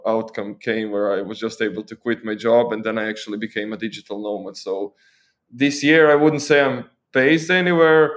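A young man from Croatia with a Croatian accent, a guest talking casually and thoughtfully in an interview, often restarting his phrases. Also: lowish voice. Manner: thoughtful, with frequent restarts and fillers.

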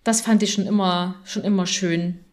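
A woman's voice: fairly low pitch, steady tone, moderate pace, darkish tone. A woman co-hosting a podcast in casual chat, often ending phrases by seeking agreement. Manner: often seeks agreement with tag questions. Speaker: podcast co-host.

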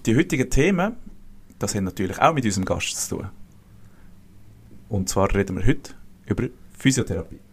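Low-voiced Swiss German podcast host. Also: male voice, a little fast, slightly rough. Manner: joking, relaxed banter. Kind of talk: casual chat.

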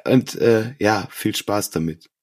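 A man's voice: lowish voice, slow, darkish tone, slight background noise. A man hosting a podcast in a casual, conversational style. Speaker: podcast host.

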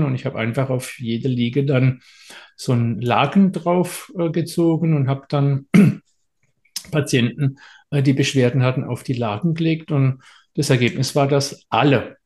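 Middle-aged man, therapist guest, chatty and hesitant, average pitch. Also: a little mellow. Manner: hesitant, many filler sounds, anecdotal. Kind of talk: casual storytelling in an interview.

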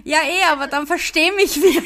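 A woman with a Viennese accent, co-hosting a podcast and talking slowly and carefully so everyone can follow. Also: high-pitched, a crowd in the background. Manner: deliberately slow, careful speech. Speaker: podcast co-host. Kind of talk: casual history podcast chat.

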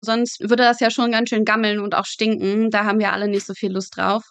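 A woman's voice: mid-range voice, flat delivery, a little fast, fairly bright tone, no room sound. A young woman explaining things as a podcast guest in a casual, matter-of-fact way with dry humour. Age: young adult. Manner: matter-of-fact, colloquial, drily humorous. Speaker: podcast guest, medical student working in pathology.

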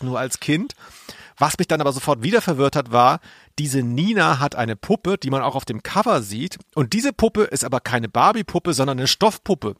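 A man's voice: medium pitch, a bit bright, no room sound, clean studio sound. A man co-hosting a podcast, chatting casually in a bemused, emphatic, colloquial way. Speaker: podcast co-host. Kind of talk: casual review chat.

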